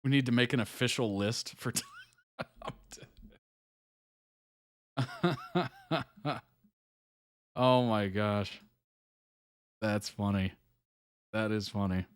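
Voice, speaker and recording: A man's voice: lowish voice, steady tone, very slow, long pauses, no echo, clean studio sound. A man hosting a live reaction stream, talking casually and chuckling often between comments. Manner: laid-back, chuckles often, trails off with fillers. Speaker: reaction video host.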